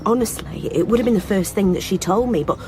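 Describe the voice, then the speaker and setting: An actress playing an anxious, grieving best friend of a missing woman, halting and pleading in a naturalistic radio drama style. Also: medium pitch, lightly acted. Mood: insistent.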